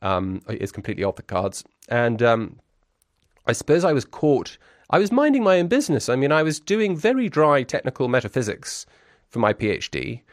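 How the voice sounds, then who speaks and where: A man's voice: mid-range voice, sing-song, moderate pace, no echo, clean studio sound. A man in his forties who grew up in Brussels, speaking as an interview guest. He talks in a reflective, articulate and wry way, full of restarts and fillers.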